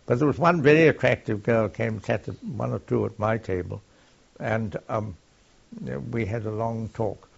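An older man with a gravelly, husky voice, recalling his wartime service haltingly, with many pauses and fillers. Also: on the low side. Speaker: war veteran recounting service. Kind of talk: oral history recollection. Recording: dull lo-fi archive tape.

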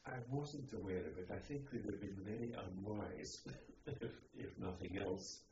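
An elderly man reminiscing in an oral history interview, speaking in a halting, reflective way. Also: low-pitched, lively intonation, darkish tone. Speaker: interviewee, former army officer. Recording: dull, noisy, echoey, distant microphone.

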